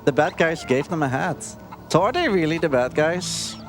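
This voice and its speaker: Lively wry man commentating over game music. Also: on the high side, normal speed. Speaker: speedrunner. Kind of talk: casual live game commentary. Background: video game music.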